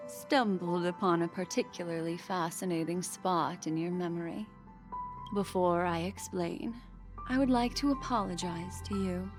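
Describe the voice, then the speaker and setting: A woman voicing an enigmatic, alluring fortune-teller in a game, poised and teasing as she lingers on phrases. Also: on the low side. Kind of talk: scripted game dialogue. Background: game music.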